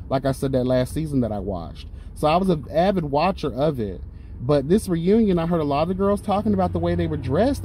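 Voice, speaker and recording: A man's voice: on the high side, mellow, rough recording. A young man from Florida, chatting while driving in a sassy, chatty way, calling out "girl" and "honey" as he talks about the shows he watches. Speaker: vlogger. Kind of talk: casual chat while driving. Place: inside a car, driving. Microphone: phone mounted on the dashboard. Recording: car phone recording with road noise. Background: car and traffic noise.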